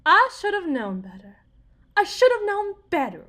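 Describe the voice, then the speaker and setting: Casting: young wife, Southern US accent, very high voice with sweeping swings, wounded, sardonic, desperate, for a dramatic audio roleplay. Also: female voice, wildly swooping pitch, moderate pace, fairly bright tone, full character voice. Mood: anguished. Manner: confiding, theatrical, folksy, wounded and sardonic. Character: bitter young Southern wife and expectant mother, desperate, dreaming of stardom.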